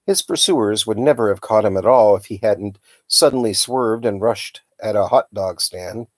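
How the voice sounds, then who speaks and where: Low-voiced man reading a storybook. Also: normal speed, no echo, studio quality. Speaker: storytime reader. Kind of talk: reading a children's novel aloud.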